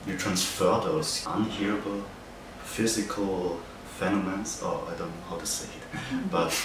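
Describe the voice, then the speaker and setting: A young man from Austria with an Austrian accent, a native German speaker, haltingly explaining a project as an art student. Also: low-pitched, steady tone. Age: young adult. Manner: hesitant, halting delivery with searching pauses. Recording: very echoey, distant mic, noisy background.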